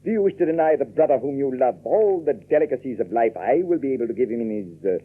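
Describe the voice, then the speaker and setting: An actor playing a pompous, domineering older judge in a radio drama, husky and falsely kindly. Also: male voice, medium pitch, animated, quick pace. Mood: imperious. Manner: pompous, unctuous. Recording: dull old radio recording.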